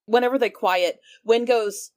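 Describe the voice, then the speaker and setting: A woman player describes her character's moves at a tabletop game in a casual, lively way. Her voice sits a little higher than most. She talks loosely and drops the odd curse. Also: unhurried. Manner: lively, casual, swears freely.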